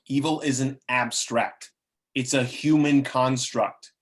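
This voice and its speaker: A man's voice: medium pitch, steady tone, slow, lightly acted. A man acts out a scheming demon with a mid-range male voice. He delivers grandiose, self-justifying villain speeches with bitter theatricality, in the loose style of a table read. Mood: scornful. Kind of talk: amateur table reading of a film script.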